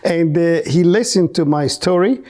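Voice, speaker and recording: A man from Egypt telling his story as an interview guest in non-native English, haltingly, repeating words for emphasis. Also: a little high, warm tone. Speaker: podcast guest, researcher and businessman.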